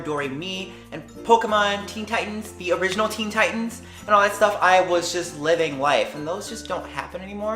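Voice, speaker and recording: A woman in her late teens chatting casually as a vlogger, lively and slangy, jumping between tangents. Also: fairly low pitch, echoey room. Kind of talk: casual chat answering tag questions.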